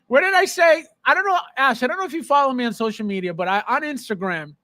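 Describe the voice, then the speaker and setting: A man's voice: very high-pitched, lively intonation, fast, sharp tone, no room sound. A man hosting a casual live stream about collectibles, conversational, repeating phrases for emphasis and checking in with the listener.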